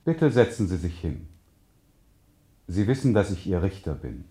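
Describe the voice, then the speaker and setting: An actor playing a stern, rigid judge who speaks in pompous formulas in an experimental radio play. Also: male voice, sits low, very animated, measured pace, mellow. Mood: formal, stern.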